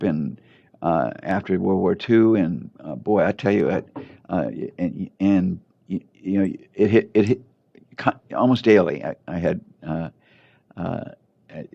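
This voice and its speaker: An older man, a doctor, talking in a casual interview, halting with many fillers and restarts over muffled lo-fi audio. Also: low voice, gravelly, warm tone. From United States.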